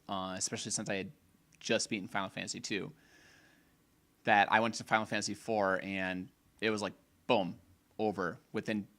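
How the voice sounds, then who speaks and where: A man co-hosting a casual gaming podcast, opinionated and rambling, a little hoarse, often restarting his sentences. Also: on the low side, pushed and bright. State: slightly hoarse. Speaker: podcast co-host.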